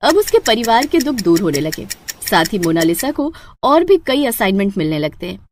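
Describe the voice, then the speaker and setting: A woman narrating a scripted moral story as an expressive storyteller. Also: mid-range voice, moderate pace, slight background noise.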